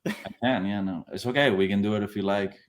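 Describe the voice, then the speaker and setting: A man's voice: fairly low pitch, fast talker. A young adult man, an actor, chatting in an interview in an easygoing, hesitant way, with many fillers. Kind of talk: casual interview chat.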